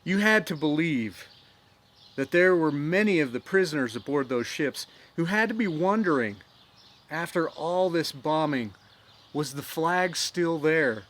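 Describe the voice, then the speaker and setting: A man hosting his own storytelling show outdoors, speaking earnestly and growing more animated in the dramatic parts. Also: on the high side, measured pace. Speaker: storyteller host. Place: outdoors in nature.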